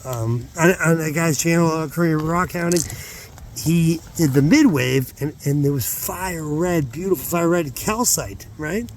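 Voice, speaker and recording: A middle-aged man chatting informally to viewers in a rambling vlog, halting as he thinks aloud and repeating words for emphasis. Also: a little high, slight background noise. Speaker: vlogger, hobby rockhound.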